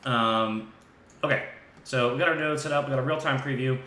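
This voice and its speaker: A man hosting a live software tutorial, talking informally and thinking aloud as he demonstrates on screen. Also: medium pitch, fairly quick. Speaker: live stream host and software instructor. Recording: echoey room with faint background noise.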